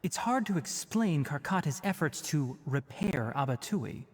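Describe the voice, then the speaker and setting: A man playing a sharp, principled young forest ranger in a video game, speaking in a precise, dry, didactic way. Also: a little high, lively intonation, normal speed, a little hiss or hum, lightly acted. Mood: measured. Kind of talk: animated video game voice acting.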